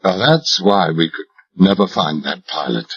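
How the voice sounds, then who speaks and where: A man doing a cold, quiet interrogator. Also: sits low, expressive, measured pace, slightly rough, voice pushed down, full character voice. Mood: menacing. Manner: quiet, menacing, implacable. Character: cold ruthless male interrogator. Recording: dull lo-fi recording.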